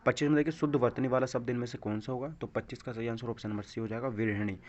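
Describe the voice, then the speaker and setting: Guy coaching exam questions, repeats answers n asks quick check questions, muffled lo-fi mic. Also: medium pitch, steady tone, darkish tone. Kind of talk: instructional walkthrough of practice quiz questions. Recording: dull, lo-fi microphone sound.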